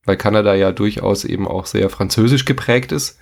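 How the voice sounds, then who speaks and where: Low gravelly guy, kinda husky, asks rambling questions on a podcast. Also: brisk. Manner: asks long, winding questions. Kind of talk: casual podcast chat.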